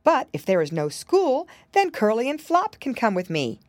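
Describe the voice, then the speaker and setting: A woman voices a jolly old rabbit gentleman from a children's storybook. He talks in a genial, courteous way, with a raised, lilting pitch and lively swings, recorded dry. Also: moderate pace, dry recording. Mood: cheerful surprise.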